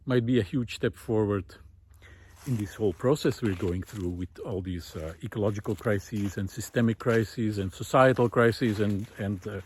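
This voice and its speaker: A man giving an unscripted, reflective monologue while out walking, thoughtful and hesitant, pausing often as he finds his words. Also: lowish voice, a little mellow, a little hiss or hum. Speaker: host of a walking audio diary, former teacher. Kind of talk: unscripted reflective spoken monologue recorded on a walk. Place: outdoors, walking.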